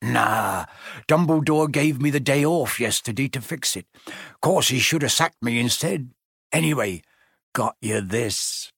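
A man voicing a huge, bearded, soft-hearted half-giant with rustic, colloquial speech in an audiobook. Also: mid-range voice, expressive, a little slow, creaky, slightly rough, no echo, clean studio sound, full character voice. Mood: gruff, fond.

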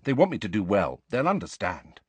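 A man voicing a brisk, bossy, clever teenage schoolgirl in an audiobook, airy and impatient in manner. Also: on the low side, wildly swooping pitch, husky, no room sound, heavily acted. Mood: brisk, bright.

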